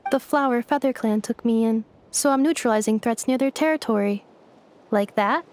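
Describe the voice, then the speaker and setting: A woman voicing a calm female android who speaks in a formal, precise, mechanical way. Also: a little high, moderate pace, slight background noise, close to an everyday voice. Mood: curious. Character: calm female android, literal-minded and polite.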